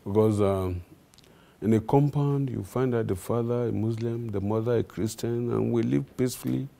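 A Nigerian man, a traditional ruler, answering interview questions in a halting way with many fillers. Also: mid-range voice, gravelly, warm tone.

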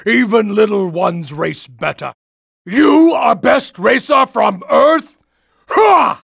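Gravelly, high-pitched brutish alien racer. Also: male voice, animated, slow, a bit bright, no room sound, studio quality, exaggerated voice. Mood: taunting. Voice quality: gravelly, raspy. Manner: broken English, taunting, bellowing. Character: brutish, boastful, hot-tempered alien ape-like kart racer. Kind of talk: exaggerated cartoon video game voice acting.

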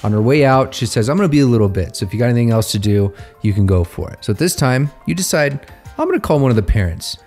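A man's voice: mid-range voice, animated, fast, darkish tone, faint music behind. A man narrating a training story in the second person, as a storyteller setting a scene.

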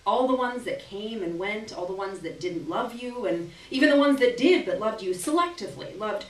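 A young adult woman giving an expressive, dramatic reading of literary fiction on stage, acting out the dialogue. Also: medium pitch, moderate pace. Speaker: performer reading a short story. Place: small indoor gallery with audience. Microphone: camera at a distance from stage. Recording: echoey room, distant microphone.

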